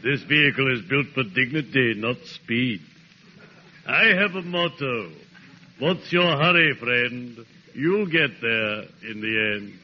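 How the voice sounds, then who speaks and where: A man's voice: medium pitch, slow pace, natural voice. An actor playing a genteel, dignified, funereal man with pompous, theatrical delivery in old radio comedy. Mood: solemn, grandly polite. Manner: pompous, theatrical, savoring each word. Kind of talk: 1940s-50s radio comedy acting. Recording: dull noisy old radio recording.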